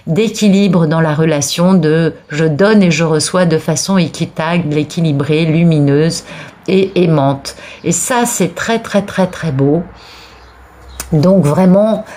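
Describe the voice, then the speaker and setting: A woman's voice: fairly low pitch, darkish tone. A woman with a cold giving a tarot card reading for viewers, talking in an encouraging way with frequent restarts and repeated words. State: has a cold, sneezing. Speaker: tarot reader.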